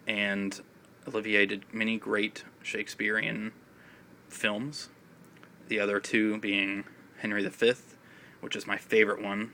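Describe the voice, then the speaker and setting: A man with a raspy voice and a cough, chatting in a casual, rambling way about films with many fillers. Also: lowish voice, pushed and bright, slight background noise. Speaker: film vlogger. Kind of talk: casual video chat. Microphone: phone.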